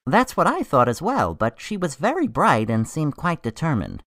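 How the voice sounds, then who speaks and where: A man's voice: fairly high pitch, wildly swooping pitch, normal speed, fairly bright tone, no room sound, studio quality, heavily acted. An actor playing an earnest, anxious male medical examiner in a radio drama, explaining things expressively. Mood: worried, explaining.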